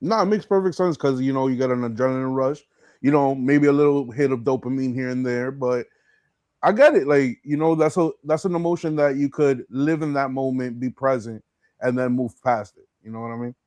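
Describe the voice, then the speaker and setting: A man's voice: medium pitch, fairly even intonation, fairly quick, darkish tone, dry recording. A man hosting a casual chat, interviewing in slangy, agreeable, laid-back phrasing. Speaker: podcast host, interviewer.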